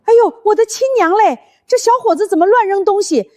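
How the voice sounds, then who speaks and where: An actress playing a brash, startled bystander who exclaims comically in a dubbed animated drama. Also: female voice, very high-pitched, brisk, a little hiss or hum. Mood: shocked.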